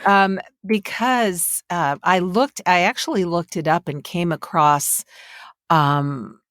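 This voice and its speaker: Deep-voiced woman podcast host, dry sound. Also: takes it slowly, a bit bright, dry recording. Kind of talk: conversational interviewing.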